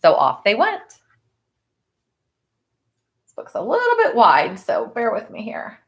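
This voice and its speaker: A woman reading a picture book aloud to children in an expressive way, with chatty, teacherly asides to her listeners. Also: on the high side, a little slow, gravelly. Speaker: storybook reader for children.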